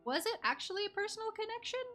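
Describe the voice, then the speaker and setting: A woman's voice: very high voice, animated, rapid-fire, sharp tone, faint music behind. A woman giving casual, reactive and teasing live commentary while she plays a game. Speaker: game streamer.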